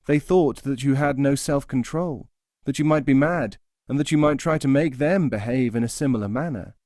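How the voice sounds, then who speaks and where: A man plays a wise nightingale from a storybook fable, calm and knowing as it counsels. The speech is measured, recorded dry and close. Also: mid-range voice, no echo, close to an everyday voice. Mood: knowing, advising. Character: worldly-wise, calm nightingale giving advice.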